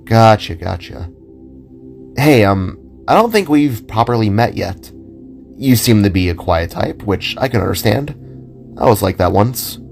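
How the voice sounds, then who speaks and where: A man's voice: fairly low pitch, expressive, a little slow, gravelly, soft background music. A man voicing a friendly, awkwardly chatty male robot-like character in an audio drama, hesitant and rambling. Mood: chatty, babbling. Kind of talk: self-narrated audio drama.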